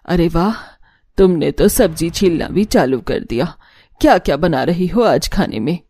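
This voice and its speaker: Cartoon mother-in-law played by a woman: sleepy, approving, lowish mellow voice, unhurried. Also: lively intonation. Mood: sleepy, pleased. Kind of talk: cartoon story character.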